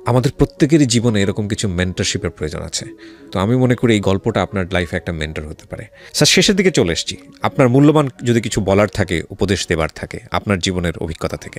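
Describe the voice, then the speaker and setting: A man's voice: fairly low pitch, sing-song, fast talker. A man with a Bangladeshi accent hosting a radio interview, respectful and effusive, repeating phrases for emphasis. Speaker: radio show host, interviewer. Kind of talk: radio interview hosting, addressing guest and listeners. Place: radio studio. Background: music behind the voice.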